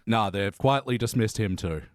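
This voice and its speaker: A man in casual podcast chat, animated and laughing as he recounts jokes. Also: on the low side, normal speed, no room sound, studio quality. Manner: animated, quotes scenes with laughs. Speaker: podcast panelist.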